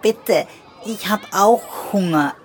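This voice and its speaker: Ein Mann, der in einer Hörgeschichte einen frechen, prahlerischen und quengeligen kleinen Blitzprinzen spricht. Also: male voice, sits high, slow, some chatter behind, slight background noise, voice pushed up. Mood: pleading. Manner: boastful, grumbling and demanding. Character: cheeky little male lightning prince, boastful and whiny.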